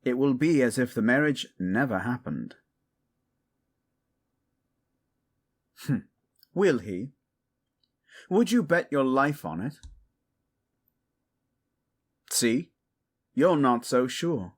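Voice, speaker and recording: Slow, commanding exiled prince played by a man. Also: mid-range voice, expressive. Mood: stern, protective. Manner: firm, commanding, formal. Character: protective exiled prince acting as king, commanding yet weary. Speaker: voice actor. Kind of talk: scripted romantic fantasy audio drama.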